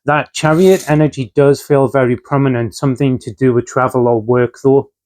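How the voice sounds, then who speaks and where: Man with a croaky post-infection voice. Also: medium pitch, normal speed. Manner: relaxed and conversational, frequent filler ums and uhs. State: recovering from a chest infection, voice off. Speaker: tarot reader. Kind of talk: casual tarot reading to camera.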